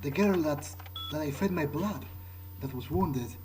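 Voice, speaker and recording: A man thinking aloud in character during a game playthrough, musing and halting as if talking to himself. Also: fairly high pitch, background noise. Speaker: gameplay video player.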